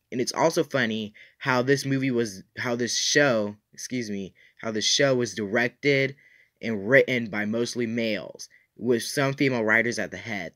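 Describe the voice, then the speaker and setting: A man's voice: lowish voice, a bit bright. Man giving a casual, opinionated review. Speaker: YouTube reviewer, host. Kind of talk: casual review talk to viewers.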